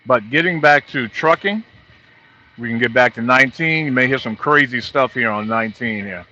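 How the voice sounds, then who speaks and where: A middle-aged or older man, a trucker, giving an informal, halting walkthrough of gear from inside his truck cab. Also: mid-range voice, pushed and bright. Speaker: truck driver vlogger. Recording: dull lo-fi audio.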